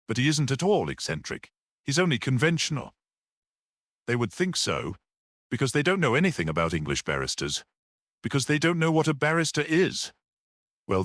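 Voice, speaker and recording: A man voicing a mild, absent-minded, good-humoured priest in a mystery audiobook, musing and gently explanatory. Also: medium pitch, no echo, studio quality, voice pushed up. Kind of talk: audiobook character reading.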